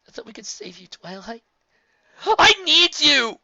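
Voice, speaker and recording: A reader voicing Spike, a young male dragon, distraught and loyal, who chokes up and yells. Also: mid-range voice, lively intonation, a little fast, gravelly, no echo, dull audio, voice pushed up, full character voice. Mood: pleading. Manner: choked, yelling, pleading.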